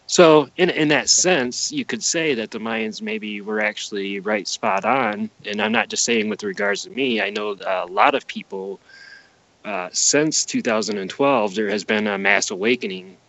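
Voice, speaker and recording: Guy guesting on a podcast, creaky voice, rambly earnest explaining, very dry sound. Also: mid-range voice, sing-song, normal speed, fairly bright tone, no room sound, rough recording. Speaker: interview guest. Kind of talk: casual podcast interview.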